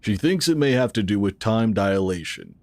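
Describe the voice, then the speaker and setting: Low-voiced, expressive male sci-fi founder. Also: dry recording. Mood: explanatory, assured. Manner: explanatory, measured. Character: calm, assured male founder of a futuristic tech organization. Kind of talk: scripted audio drama acting.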